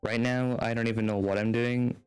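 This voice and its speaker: A man's voice: medium pitch, little pitch movement, a little fast, darkish tone, clear, resonant tone. A man livestreaming a video game, chatting casually in an easygoing, rambling way over game music. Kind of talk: casual livestream chat, reading game text aloud.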